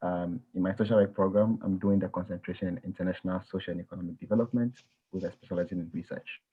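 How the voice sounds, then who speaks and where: Young male panelist from Ghana, low husky voice, fast delivery, thin phone-like sound. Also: fairly even intonation. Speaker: graduate student panelist. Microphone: video call.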